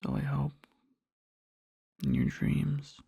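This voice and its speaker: A man playing an adoring boyfriend in a soft ASMR roleplay, murmuring slowly and tenderly to a sleeping partner in a breathy, husky whisper. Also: mid-range voice, big pitch swings, dry recording, studio quality, heavily acted. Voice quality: breathy, husky, with creaky fry. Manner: slow, halting, tender murmuring.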